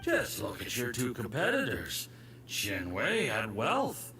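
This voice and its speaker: A scheming game villain with a very animated, patronizing drawl. His voice is bright and theatrical, full of smug menace, and it sounds a little distant with some noise. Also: mid-range voice, takes it slowly, full character voice. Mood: smug, expansive. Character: smug, scheming foreign agent, a drinker and manipulator. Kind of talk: video game voice acting.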